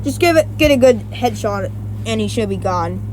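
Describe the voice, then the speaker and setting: A woman with a high voice talks through a casual versus-battle breakdown. She rambles, stops to correct herself and restarts often, dropping in the odd swear word. A faint hum sits behind her. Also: lively intonation, normal speed, a little mellow, poor audio. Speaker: YouTuber.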